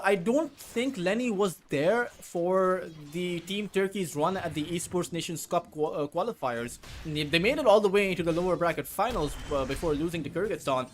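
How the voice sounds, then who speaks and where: A man who speaks Urdu as his first language, casting a match alone, with rambling asides between quick play calls. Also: a little high. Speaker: esports caster. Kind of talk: solo play-by-play commentary.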